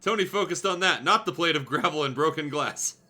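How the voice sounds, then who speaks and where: A man's voice: a little high, brisk, pushed and bright, faint music behind. A man hosting a show, reading fan fiction aloud casually and comically, with playful, sardonic asides.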